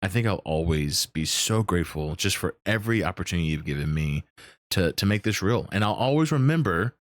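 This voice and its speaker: Low-voiced man, animated streamer host. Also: a little fast, no echo, clean studio sound. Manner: animated, profane, stammers and repeats himself. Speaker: podcast host and role-play streamer. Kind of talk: casual, unscripted interview chat.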